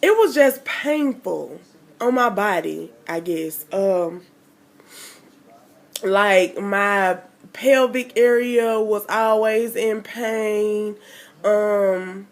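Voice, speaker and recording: Slow, stuffy-nosed young woman vlogger. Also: mid-range voice. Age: 20s. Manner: rambling, chatty, lots of fillers. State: stuffy nose from a cold. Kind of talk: casual chat to camera.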